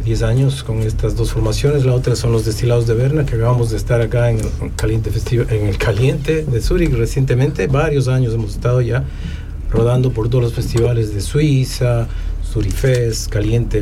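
A middle-aged man from Ecuador, a musician, talking casually about his work in an unhurried way with many fillers. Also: mid-range voice, background noise. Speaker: musician guest. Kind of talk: casual interview talk.

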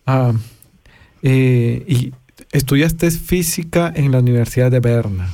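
A man's voice: mid-range voice, slow pace, mellow, dry recording. A man hosting a radio show, interviewing in an informal, slightly hesitant conversational way. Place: studio.

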